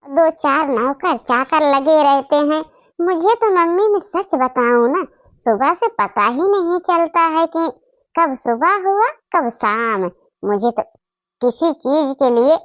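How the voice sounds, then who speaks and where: Cartoon spoiled young wife visiting her parents, played by a woman: boastful, disdainful, high-pitched, dry close sound. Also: lively intonation, takes it slowly, no room sound. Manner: bragging, complaining. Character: boastful, snobbish young married daughter visiting home. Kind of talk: Hindi family comedy cartoon acting.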